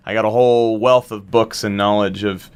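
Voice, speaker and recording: A man's voice: fairly low pitch, fairly even intonation, normal speed, slight background noise. A man chatting casually and animatedly as a guest in an interview. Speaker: show creator, director and actor, interview guest.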